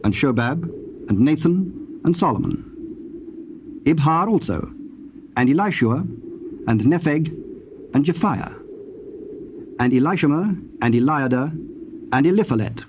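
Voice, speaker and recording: A man with a British accent narrating scripture in a formal, measured way. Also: fairly high pitch, lively intonation, a little mellow. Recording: dull, faintly noisy old cassette.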